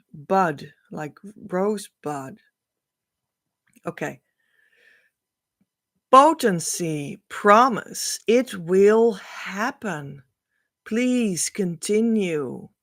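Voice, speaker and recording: A middle-aged woman whose first language is Dutch, giving an oracle card reading as a spiritual coach, speaking to camera in a slow, musing way. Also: medium pitch. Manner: slow, musing, drifts between soft and louder emphasis.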